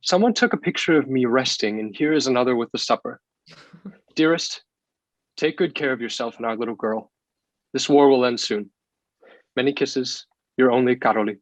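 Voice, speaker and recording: A man's voice: medium pitch, moderate pace, long pauses, no room sound, natural voice, poor audio. Man acting a tender wartime husband, dry sound. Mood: affectionate. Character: loving soldier husband writing home in wartime. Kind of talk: reading a letter aloud in a play reading.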